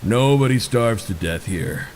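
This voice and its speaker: A man's voice: medium pitch, lively intonation, a little slow, darkish tone, slight background noise, lightly acted. An actor playing a plainspoken veteran prison worker in a video game, frank and folksy in the way he talks. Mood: frank, recollecting.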